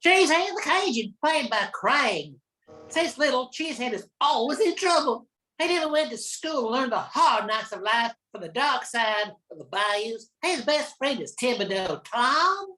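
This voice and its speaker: A woman plays an uneducated bayou troublemaker with a high, sharp voice. The delivery swings up and down in a radio drama. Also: sing-song, moderate pace, exaggerated voice, low-quality recording. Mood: cocky introduction. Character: uneducated, troublesome Cajun man from the dark bayou. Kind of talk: amateur radio drama reading.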